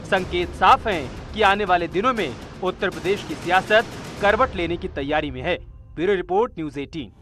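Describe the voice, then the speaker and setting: A man's voice: high-pitched, lively intonation, moderate pace, fairly bright tone, soft background music. A man reading a scripted news report voice-over in a dramatic, rhetorical way.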